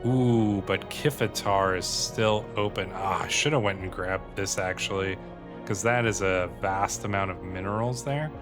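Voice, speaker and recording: A man's voice: deep voice, measured pace. Man casually commentating gameplay over music. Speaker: gaming video host. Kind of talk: casual gameplay commentary with read-aloud in-game text.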